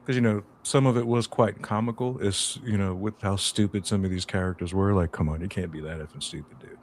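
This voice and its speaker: A man co-hosting a podcast, chatting casually about a TV show with slangy, laid-back banter and drawn-out storytelling. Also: deep voice, fairly even intonation, darkish tone, a little hiss or hum. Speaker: podcast co-host. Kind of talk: casual chat.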